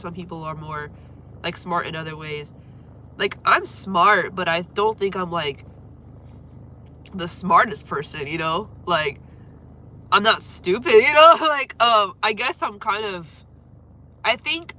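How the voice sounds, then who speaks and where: A young woman from Florida with a deep voice and a cold, chatting rambly with viewers on a phone livestream at home. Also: a little hiss or hum. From Florida, USA. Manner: rambling, lots of fillers, trails off quietly. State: has a cold, congested and coughing. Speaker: musician livestreaming. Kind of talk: casual livestream chat with viewers. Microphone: phone held close.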